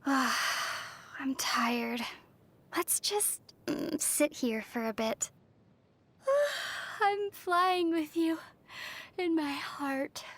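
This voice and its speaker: A woman voicing a young traveler heroine in a video game, gentle and wry with a soft, teasing manner. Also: high-pitched, animated, drawn-out words, full character voice. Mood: weary, wistful.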